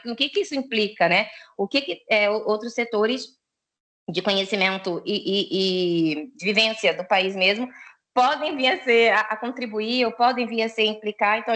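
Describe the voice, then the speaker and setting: A woman's voice: mid-range voice, a bit bright. A woman from Salvador, asking a long question in an online discussion, thinking aloud with many restarts. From Salvador, Bahia, Brazil. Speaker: audience member asking a question.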